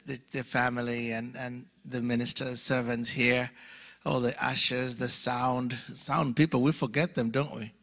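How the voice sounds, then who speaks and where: A man from Uganda with a Ugandan English accent, preaching as a guest in church, jovial and self-deprecating, rising to loud exclamations. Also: medium pitch, vocal fry. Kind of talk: sermon. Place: church sanctuary.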